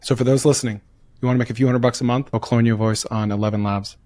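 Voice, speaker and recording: Man, mid-pitched voice, easygoing chat, retells stories. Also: steady tone, quick pace, darkish tone, no room sound. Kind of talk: casual chat.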